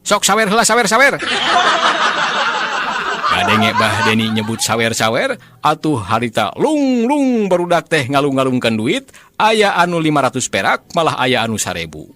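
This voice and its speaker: A man with a Sundanese accent telling a comic folk tale in a lively, chuckling way, speaking straight to his listeners. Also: mid-range voice, normal speed. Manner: chuckles often while narrating, addresses listeners. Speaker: storyteller. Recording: noisy, hissy recording.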